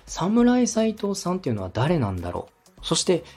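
A man's voice: sits high, wildly swooping pitch, normal speed, a little mellow, husky, faint music behind. A man speaking as a podcast host and director, giving polite, step-by-step feedback and acting out short examples as he explains. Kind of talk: structured coaching talk on a podcast.

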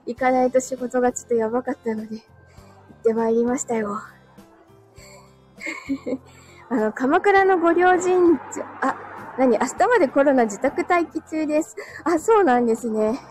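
A woman with a high, light voice hosts a casual livestream while walking outdoors. She is chatty and courteous, greeting viewers and often giggling. Her breath is a little short from the climb after being ill, and faint music sits behind her. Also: moderate pace, long pauses, a little mellow. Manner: chatty, polite, laughs often. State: breathless from walking uphill, recovering from illness. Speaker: livestream host. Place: outdoors, walking on narrow hilly street.

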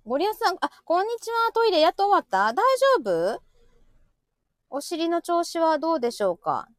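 A woman's voice: very high-pitched, sing-song, brisk, dry recording, clean studio sound. A woman with a Kansai Japanese accent hosting a live audio show with casual, teasing comic banter. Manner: teasing, chatty.

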